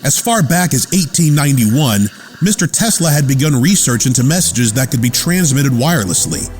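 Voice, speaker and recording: Man as animated, loyal lab assistant. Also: mid-range voice, normal speed, close to an everyday voice. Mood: proud, earnest. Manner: earnest, plain storytelling. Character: loyal, watchful male lab assistant recounting his boss's life. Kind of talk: radio theater narration. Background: music.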